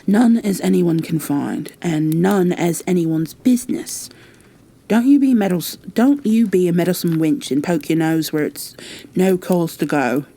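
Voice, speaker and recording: A woman's voice: lowish voice, measured pace, dark tone. A woman voicing a surly, blunt old moorman gardener with a Yorkshire dialect in a storybook reading. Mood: irritable. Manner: gruff, blunt dialect speech.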